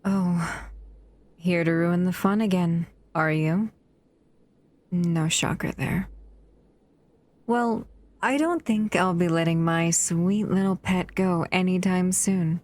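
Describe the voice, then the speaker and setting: Casting: actress as a possessive female monster for audio roleplay, unhurried and slightly low, cooing and teasing. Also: darkish tone, dry recording. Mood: defiant, mocking. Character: possessive, doting female monster, captive in a lab. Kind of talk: intimate audio roleplay acting.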